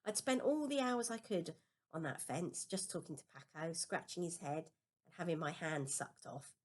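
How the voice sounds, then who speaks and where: Lower-pitched woman reading a storybook aloud. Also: normal speed. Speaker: amateur audiobook reader. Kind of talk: storybook narration, first-person children's novel read aloud.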